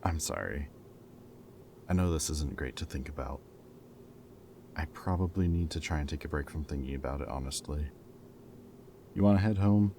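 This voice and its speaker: Casting: man as wry ex-villain friend, bottom-of-range dark voice, conversational and earnest, some noise behind. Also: rumbling low, moderate pace, pauses often. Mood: gentle, concerned. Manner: casual, teasing, earnest. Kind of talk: roleplay audio drama acting, conversational.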